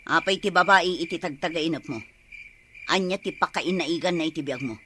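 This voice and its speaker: Commanding, insistent muscle-gang boss played by an actor, Ilocano accent, comedy drama, clearly noisy recording. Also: mid-range voice, normal speed, lightly acted. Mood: bossy, calm. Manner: bossy, insistent. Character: commanding boss of a muscular women's lair.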